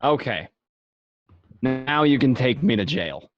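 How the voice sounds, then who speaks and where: A man's voice: mid-range voice, expressive, takes it slowly, dull audio. A man playing a cynical, streetwise gangster who tells his own crime story in a radio drama. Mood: resigned. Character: cynical streetwise young mobster narrating his own arrest. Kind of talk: first-person crime drama narration. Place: home, remote online recording.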